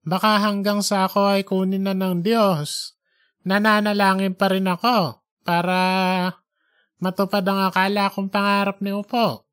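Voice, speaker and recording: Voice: mid-range voice, flat delivery, unhurried, fairly bright tone, clean tone, close to an everyday voice. A performer voicing a very old, devout father, tender and remorseful, with an emotional, pleading manner. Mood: grateful. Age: elderly.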